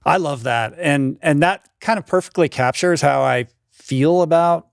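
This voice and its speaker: 50s male podcast host, gravelly voice, easygoing, loose filler-heavy talk. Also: a little high, a little slow, dry recording, clean studio sound. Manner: relaxed, many fillers and backchannels. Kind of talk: casual interview chat.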